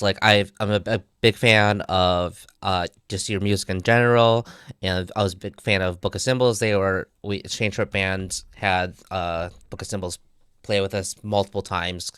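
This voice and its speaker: A man with a deep, smooth voice chats casually as a musician on a panel. His talk is conversational. The sound is dry, with no audible room. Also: fairly even intonation, moderate pace, a bit bright, no echo. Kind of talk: casual chat.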